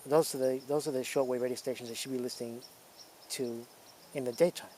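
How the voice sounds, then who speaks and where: A man's voice: medium pitch, steady tone, quick pace, warm tone, a little hiss or hum. A man presenting as a radio hobbyist, giving casual hands-on demonstration commentary.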